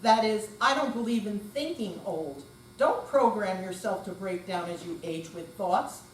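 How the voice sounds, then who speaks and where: A woman's voice: medium pitch, animated, unhurried. An older woman giving a dramatic reading as a readers theater performer. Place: hall at a senior center. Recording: echoey room, far mic, clear mains hum.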